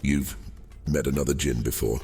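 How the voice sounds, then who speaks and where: A man playing an old, fading captive djinn in a fantasy audio drama, courteous and wheedling, with silky theatrical delivery and hesitant pauses. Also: a bass voice, fairly even intonation, darkish tone. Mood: wheedling, crafty. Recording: long cavernous reverb, music underneath. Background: music.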